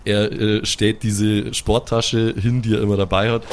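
Low-voiced man narrating a tabletop game. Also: little pitch movement, measured pace. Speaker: game master.

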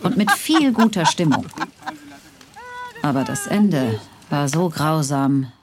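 A woman's voice: deep voice, big pitch swings, slow, slight background noise, exaggerated voice. An actress playing a murdered older woman who narrates her own death in a wry, scripted crime documentary style. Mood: wry, ominous.

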